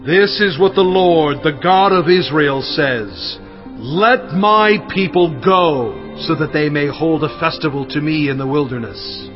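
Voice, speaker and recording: Casting: male actor as a pleading, humble prophet, raised lively voice, earnest, for scripture audio drama over music. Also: on the high side, a little slow, lightly acted. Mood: earnest, urgent. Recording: muffled, music underneath.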